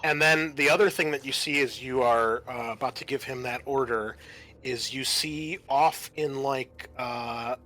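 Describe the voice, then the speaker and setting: A man working as game master, improvising halting, descriptive narration for a tabletop sci-fi horror game. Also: mid-range voice, fairly even intonation, bright, forceful tone.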